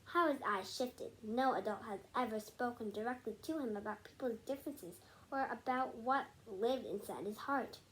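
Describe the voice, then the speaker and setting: A woman's voice: on the high side, fairly bright tone, low-quality recording. A female voice reading a picture book aloud, stumbling over and repeating words, with casual asides. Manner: halting, stumbles and rereads words. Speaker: read-aloud storyteller. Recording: home recording with background noise.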